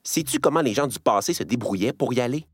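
A man's voice: mid-range voice, animated, fast, soft background music. A man narrating a scripted educational piece for children, playfully addressing the listener.

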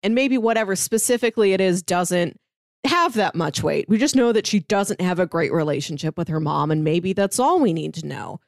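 A woman's voice: lowish voice, lively intonation, normal speed, gravelly, no echo, studio quality. A woman co-hosting a TV podcast, talking through the season in a chatty, analytical way and laughing now and then. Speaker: podcast co-host. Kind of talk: casual TV recap chat.

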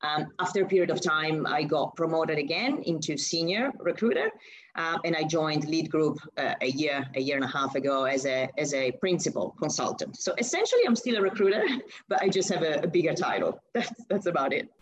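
A young woman from Italy speaks English with a clear Italian accent. Her voice sits low for a woman, and she answers in a chatty, conversational way, restarting phrases and filling pauses as she thinks. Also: rough recording. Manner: chatty, with frequent fillers and restarts. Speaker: guest, recruitment consultant. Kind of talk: casual interview answers.

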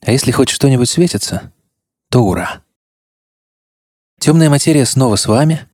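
A man's voice: mid-range voice, animated, moderate pace, darkish tone, no echo, clean studio sound. A man hosting a podcast with scripted narration that speaks straight to the listener. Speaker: podcast host.

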